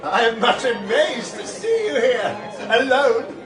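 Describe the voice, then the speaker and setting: An actor playing a plump, amiable, teasing priest in a period radio drama, playful and obsequious. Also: male voice, very high voice, sing-song, takes it slowly, exaggerated voice. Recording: echoey, distant, music and crowd behind.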